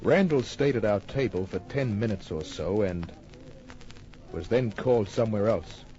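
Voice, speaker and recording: An actor playing a wry, tough private detective narrating his case in an old-time radio drama, deadpan and wisecracking. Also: fairly low pitch, moderate pace, natural voice. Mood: wry, matter-of-fact. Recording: muffled old radio recording with music.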